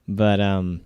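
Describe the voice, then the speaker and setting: Male vlogger, lower register, casual reaction commentary with easy chuckles, dry recording. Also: darkish tone, clean tone, dry recording, lo-fi sound. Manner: laughs readily while reminiscing. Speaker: vlogger reacting to own old videos.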